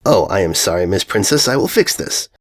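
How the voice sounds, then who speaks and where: A man's voice: sits low, lively intonation, fairly quick. Guy doing weird earnest writer dude for a fanfic reading, super positive, rambles in odd innocent phrases. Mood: apologetic, friendly. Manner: guileless, oddly phrased rambling. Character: earnest, eccentric, relentlessly positive male romance author. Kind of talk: fan fiction story reading.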